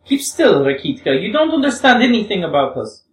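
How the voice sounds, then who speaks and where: A man's voice: sits high, very animated, a little fast, voice pushed up. A man doing a heated, nervous young woman. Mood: heated, confessing. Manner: heated, hysterical pleading. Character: nervous proud young woman, self-accusing. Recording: echoey room, far from mic.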